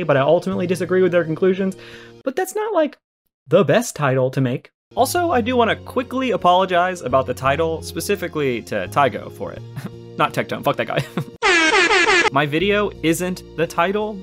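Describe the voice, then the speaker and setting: A man's voice: a little high, fairly bright tone. A man giving casual, conversational but argumentative commentary for a response video, leaning on key words. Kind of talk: casual commentary to camera or mic. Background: background music.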